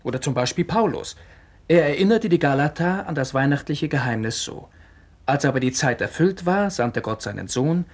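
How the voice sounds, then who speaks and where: An actor playing an earnest, critical young son with a husky voice, lecturing insistently and articulately in a radio play. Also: male voice, fairly high pitch, expressive, moderate pace. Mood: insistent explaining. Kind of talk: 1960s German radio play acting.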